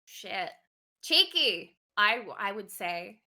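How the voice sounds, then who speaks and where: An Australian woman being interviewed as a guest streamer, soft-spoken and relaxed, with many "um"s and easy laughs. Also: mid-range voice, slow. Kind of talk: casual interview chat.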